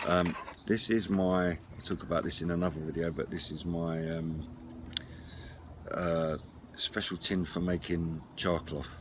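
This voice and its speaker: A middle-aged man with a low voice talks informally through his kit, explaining as he goes and pausing to find words. He is recorded outdoors at a table, with clear background noise and clatter around the voice. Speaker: presenter of a CB radio and camping gear channel. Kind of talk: casual product walk-through to camera. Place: outdoors at a wooden garden table by a house.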